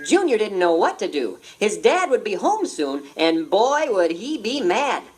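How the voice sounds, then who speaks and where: A woman's voice: medium pitch, big pitch swings, measured pace, slightly rough. A woman giving scripted read-along narration, telling the story expressively and voicing every character. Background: background music.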